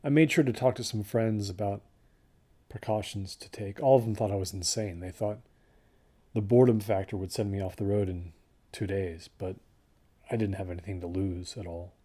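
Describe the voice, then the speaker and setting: A man acting as a young male hiker giving an interview in an audio drama. His voice is lowish and warm, with a soft dark tone. He speaks casually and reflectively, pausing as he remembers. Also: steady tone, close to an everyday voice. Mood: reflective, subdued. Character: young male student hiker recalling an ill-fated trip. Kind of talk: naturalistic documentary interview acting.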